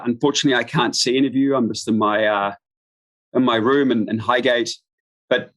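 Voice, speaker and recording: A middle-aged man from New Zealand giving an informal live webinar introduction as a business expert, speaking in halting, stop-start phrases. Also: medium pitch, fairly bright tone, rough recording. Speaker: guest expert, business founder. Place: room at home.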